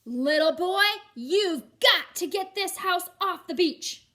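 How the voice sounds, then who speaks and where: Woman as a loud, high, indignant bossy judge. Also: lively intonation, takes it slowly, exaggerated voice. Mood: bossy, scornful. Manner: loud, scolding, emphatic.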